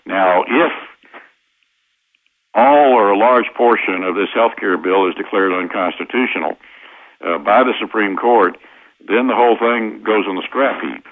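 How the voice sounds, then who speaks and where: Over a thin, narrow phone connection, an American man speaks in a fairly low voice as an interview guest. He explains political and legal matters with firm opinions, in a halting delivery. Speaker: interview guest, constitutional lawyer. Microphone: telephone. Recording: tinny narrow-band phone line.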